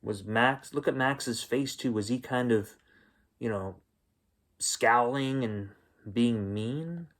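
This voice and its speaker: A man reading a children's picture book aloud as a storyteller, expressive and unhurried, with gentle asides to the listeners. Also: medium pitch. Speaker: bedtime story reader and host.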